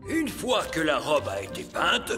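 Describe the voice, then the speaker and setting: An actor playing an officious, fussy elf craftsman in a French cartoon, explaining things pompously and excitably. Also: on the high side, a little slow, big-room sound, music in the background. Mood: proud, explanatory. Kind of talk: French cartoon dub acting.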